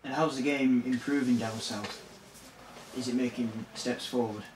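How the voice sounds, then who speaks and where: A man asking prepared interview questions in a measured way. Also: medium pitch, steady tone. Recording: echoey room, far from mic, noisy.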